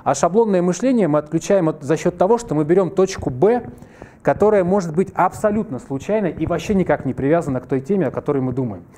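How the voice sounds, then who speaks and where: A man in his thirties teaches a live workshop in a wood-panelled hall, talking through a headset mic. He races along in a mellow, rather high voice, joking with the room. He's a bit under the weather, and a rough throat shows now and then. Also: fast talker. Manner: lively, conversational, jokes with the audience. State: slightly ill, clears throat. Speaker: workshop presenter. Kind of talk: live masterclass lecture.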